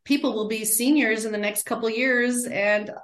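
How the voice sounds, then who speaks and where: A woman's voice: a little high, steady tone, moderate pace, reverberant. A middle-aged woman, a guest who talks in a chatty way and often adds "right?" and "like". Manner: chatty, warm, many "right?" and "like" tags. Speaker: guest, end-of-life doula. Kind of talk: casual interview talk.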